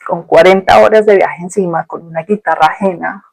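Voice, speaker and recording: A woman's voice: lowish voice, fairly even intonation, fairly quick, creaky, darkish tone, dry recording. A woman with a Colombian Spanish accent telling a personal story as a podcast host, in a reflective, conversational and colloquial way.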